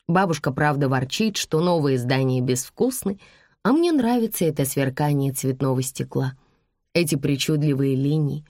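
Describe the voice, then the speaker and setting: A woman's voice: deep voice, normal speed, a little mellow, no echo, studio quality. A woman voicing a weary, wry, plain-spoken woman driver in an audiobook, in a musing, matter-of-fact manner that turns firm when fed up.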